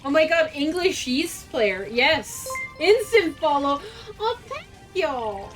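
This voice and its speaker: A woman's voice: high-pitched, big pitch swings, takes it slowly, soft background music, rough recording. A woman streaming a game, chatting casually and greeting her viewers with bubbly, giggly energy. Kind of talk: casual live-stream chat.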